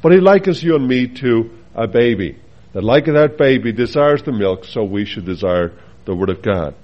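A man's voice: mid-range voice, expressive, moderate pace. Man, Faroese accent, preacher, earnest sermon, rhetorical questions, dull lo-fi recording. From Faroe Islands.

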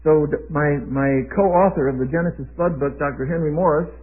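An elderly man in his eighties delivers a sermon in a husky, somewhat high voice. He speaks with emphasis and tosses questions out for the congregation to answer. The sound is thin and tinny, as if heard over a phone line. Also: brisk. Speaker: preacher.

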